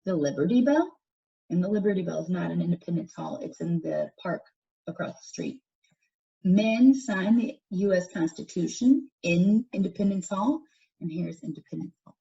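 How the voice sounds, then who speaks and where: A woman reads a schoolbook aloud as a teacher would, with lively rises and falls in a soft-edged, mellow voice. Her phrasing is deliberate and instructional, and she sounds set back from a dull-sounding microphone. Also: lowish voice, creaky. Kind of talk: educational read-aloud of a textbook for children. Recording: dull, distant microphone pickup.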